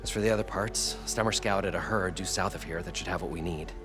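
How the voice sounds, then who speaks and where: A man's voice: low-pitched, a little fast, close to an everyday voice. An actor playing an eager inventor and showman, with dramatic, flowery storytelling in a video game. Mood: matter-of-fact, eager. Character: eager, theatrical male inventor and showman chasing treasure. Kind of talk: video game cutscene acting. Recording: music behind the voice.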